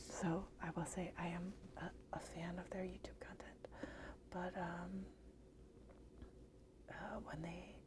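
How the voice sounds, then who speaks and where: A woman whispering a soft ASMR book review, slightly hoarse and hesitant, with many fillers. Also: lowish voice, noisy background.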